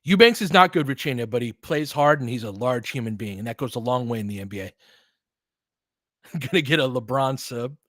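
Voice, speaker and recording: A man calls basketball play-by-play in a clipped, running style at an ordinary pitch for a man. He breaks into excited bursts and quick laughs when plays go his team's way. The sound is close and dry, with no room behind it. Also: dry recording. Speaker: play-by-play commentator. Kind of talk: fan play-by-play commentary.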